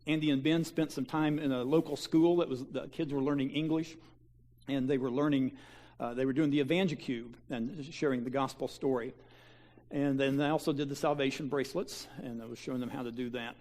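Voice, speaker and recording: A man's voice: medium pitch, fairly even intonation, brisk, a little mellow. A man, a pastor giving a folksy mission-trip slideshow talk to his church, with lots of fillers and restarts. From Kansas. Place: church sanctuary.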